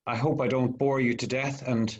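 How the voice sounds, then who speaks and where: A man's voice: mid-range voice, little pitch movement, normal speed, a little mellow. A man with a Dublin Irish accent, a guest speaker opening his talk on a video call with many ums and restarts. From Ireland. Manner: hesitant, lots of ums and restarts. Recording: dull lo-fi video call audio.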